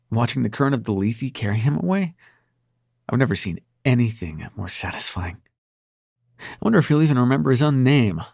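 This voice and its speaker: Man acting gravelly, flustered, smitten nightmare prince. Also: medium pitch, a little mellow, no echo, clean studio sound, heavily acted. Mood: angry, gloating. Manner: halting, stammering, sweary and sardonic. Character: male supernatural prince of nightmares, brash, flustered, smitten lover. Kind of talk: intimate audio roleplay acting.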